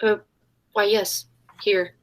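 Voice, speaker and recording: A woman's voice: mid-range voice, little pitch movement, takes it slowly, lightly acted. A woman playing an earnest heroine, a detective's sweetheart in peril, in a 1940s style radio play. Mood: nervous.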